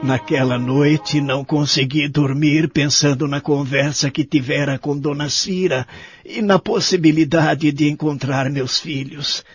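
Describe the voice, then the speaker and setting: A man's voice: a little high, husky, no echo. A man playing a lonely, remorseful elderly care-home resident in an audio drama, speaking earnestly and wistfully. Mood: reflective, uneasy. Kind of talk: audio drama acting and first-person narration. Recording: dull lo-fi audio, faint music behind.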